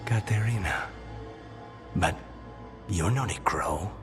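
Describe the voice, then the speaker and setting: Casting: demon-possessed assassin, low register, terse and guarded, fantasy game, loud score underneath. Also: male voice, slow. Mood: guarded, grim. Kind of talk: cinematic fantasy game voice acting. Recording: loud music behind the voice. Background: loud game music.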